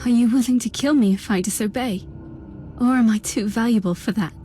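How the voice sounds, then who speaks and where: A woman's voice: fairly high pitch, a little fast, dark tone, close to an everyday voice. An actress playing a guarded, troubled young woman in a dramatic video game, speaking earnestly. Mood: wary. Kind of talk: scripted video game voice acting. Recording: music behind the voice.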